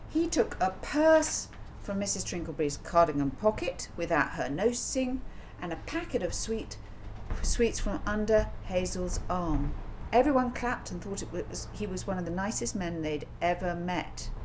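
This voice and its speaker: Lower-voiced woman storyteller, noisy recording. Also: animated, normal speed, a little mellow. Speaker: author reading own book aloud. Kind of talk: children's audiobook narration, expressive storytelling.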